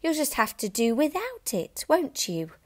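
A woman reads aloud as a bossy, sharp-tongued middle-aged wife in a storybook, scolding and lecturing in a raised, clipped voice. She sounds dismissive and quick to reprimand. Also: high-pitched, huge pitch swings, pitched above the performer's own voice, heavily acted.

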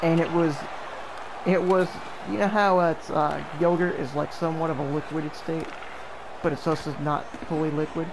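A young man calls a hockey video game alongside a friend, his voice sitting a bit high. He jokes between plays and breaks into sudden excited shouts. A clear hiss of noise runs under the recording. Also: normal speed, crowd noise. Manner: joking, bursts into excited calls. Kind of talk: casual play-by-play with a friend.

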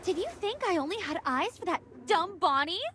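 A woman's voice: very high-pitched, lively intonation, a little fast, a bit bright, faint music behind. An actress playing a deranged, vengeful young woman in a video game, with a theatrical, mocking, sing-song menace. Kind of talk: video game character acting.

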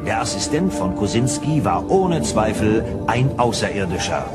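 Animated low male narrator over loud music. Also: moderate pace. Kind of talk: scripted audio drama narration.

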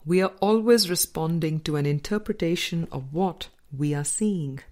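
A woman reading a spiritual audiobook as scripted narration, in measured, deliberate phrases with pauses. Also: lowish voice, darkish tone. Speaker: audiobook reader.

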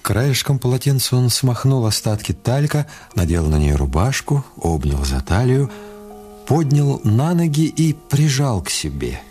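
A man's voice: mid-range voice, sing-song, unhurried, darkish tone, soft background music. A man reading scripted literary narration as the narrator of a radio play.

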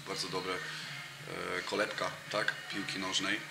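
Brief: man, 30s, low monotone, halting guest on a table mic, echoey noisy room. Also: gravelly. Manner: halting, many fillers and restarts. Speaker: interview guest, football coach. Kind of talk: casual interview chat. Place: cafe room with brick wall and sofa. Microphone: table mic in front of him.

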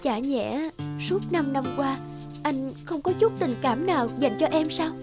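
A woman playing a gentle, emotional single mother and psychologist in a cartoon drama. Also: high-pitched, expressive, unhurried. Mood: wistful. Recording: background music under the voice.

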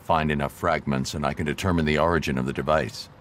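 An actor playing a grim, stoic vigilante detective in a video game, terse and analytical. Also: male voice, extremely low pitch, steady tone, quick pace, background noise.

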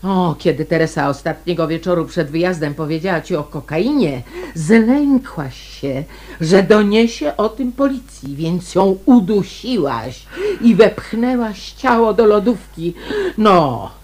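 An actress playing a shrewd, defiant lady amateur sleuth in a radio drama, with a deliberate, theatrical delivery. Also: on the low side, animated, darkish tone. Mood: defiant, accusing.

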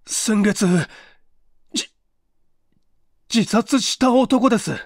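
A man's voice: very high voice, extremely slow, no echo, clean studio sound, pitched above the performer's own voice, full character voice. A man voicing a frightened, flustered witness with stammering, breathless exclamations in a mystery audiobook. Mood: terrified. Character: frightened, flustered man who found a corpse. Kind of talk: dramatized mystery audiobook reading.

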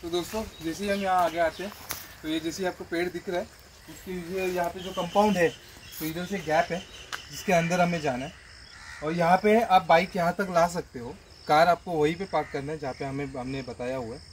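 A man's voice: on the high side, fairly even intonation, brisk, a little hiss or hum. A man vlogging outdoors, explaining directions step by step as he walks a rural trail. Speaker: travel vlogger. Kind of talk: casual guide to a trail.

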